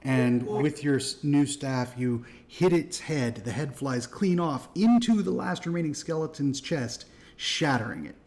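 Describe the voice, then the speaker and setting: A man's voice: medium pitch, unhurried, hardly any pauses. Easygoing man narrating as game master. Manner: relaxed, laughs while narrating. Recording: live stream voice chat.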